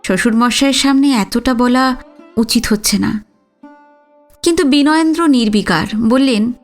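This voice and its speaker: High-pitched woman narrating a story. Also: normal speed, soft background music. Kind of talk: scripted audio story narration.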